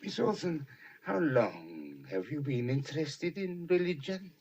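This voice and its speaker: A man's voice: fairly high pitch, very animated, unhurried, a little mellow, a little hiss or hum. A man playing a fussy, polite detective who questions people with formal courtesy. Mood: polite, probing. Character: fussy, polite middle-aged male detective. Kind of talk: film dialogue.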